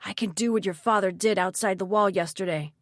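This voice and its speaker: Woman voicing an urgent, sharp young man. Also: medium pitch, a little fast, dry recording, studio quality, lightly acted.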